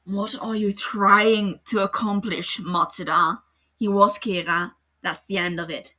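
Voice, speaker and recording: A woman voicing an angry, blunt adult male detective in a podfic, with loud, scornful delivery. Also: mid-range voice, steady tone, unhurried. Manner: furious, scornful, shouting. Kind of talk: podfic character reading.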